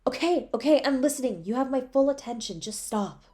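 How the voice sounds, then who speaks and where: A woman's voice: high voice, lively intonation, brisk, warm tone. A woman playing a devoted, guilt-ridden superhero in an intimate, emotional audio roleplay, with a pleading, tender way of speaking. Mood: tender, resigned. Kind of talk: dramatic audio roleplay, intimate second-person.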